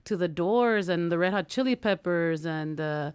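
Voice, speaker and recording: A woman's voice: fairly low pitch, normal speed, darkish tone, clear, resonant tone. A woman from Los Angeles, a band singer, chatting casually in an interview and often checking for agreement. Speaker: lead singer being interviewed. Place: radio studio.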